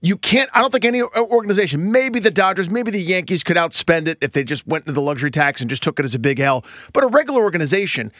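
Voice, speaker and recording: A man's voice: sits high, animated, quick pace, few pauses, a bit bright, no echo, studio quality. A man co-hosting a sports podcast, giving emphatic unscripted analysis and repeating points for emphasis.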